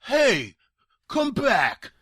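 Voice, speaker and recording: Crude, excitable detective's client , male actor: husky, animated, slow-paced voice for noir audio drama, close dry sound. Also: extremely high pitch, no echo, full character voice. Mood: agitated.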